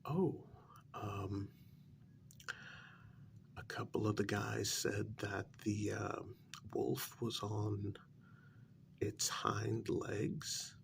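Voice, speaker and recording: A man playing a polite, hesitant working man placating his boss in a soft, partly whispered ASMR role-play, halting and deferential. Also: lowish voice, steady tone, gravelly, a little hiss or hum, heavily acted. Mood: careful, explaining. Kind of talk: soft-spoken ASMR role-play, one side of a conversation.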